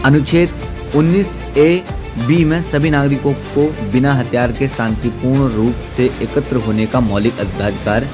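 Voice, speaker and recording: A man's voice: medium pitch, fairly even intonation, a little slow, over music. A man presents a political explainer as an activist, speaking earnestly in formal diction. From Uttarakhand, India. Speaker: activist commentator. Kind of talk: political explainer to camera or voice-over.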